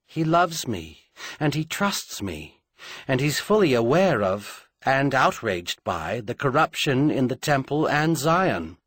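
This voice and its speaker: A proud young queen acted out by a male narrator speaks candidly in a storybook drama. The voice is lifted in pitch and husky, with expressive swings and earnest, formal emphasis. She sounds resolute beneath her grief. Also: slow pace, no echo, studio quality, heavily acted. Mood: earnest, troubled.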